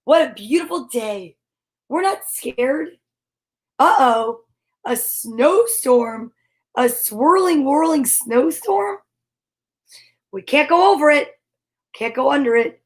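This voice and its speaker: A high-pitched woman reads a picture book aloud to children at a slow, unhurried pace. Her delivery is animated and sing-song, with playful sound words, dramatic exclamations and chatty asides. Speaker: host reading picture books aloud.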